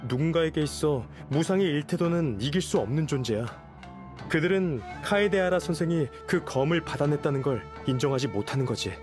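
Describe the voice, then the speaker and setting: Guy playing polite young noble official in a game, slightly high voice, measured n reassuring, music under it. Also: expressive, close to an everyday voice. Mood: calm, persuasive. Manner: measured, formal, explanatory. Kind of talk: game voice acting. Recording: music behind the voice.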